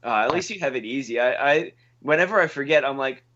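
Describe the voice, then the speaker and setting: A man's voice: mid-range voice, fast, sharp tone. A man co-hosting a casual wrestling podcast, laid-back and dry, asking the others questions. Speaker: podcast co-host. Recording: dull lo-fi podcast audio.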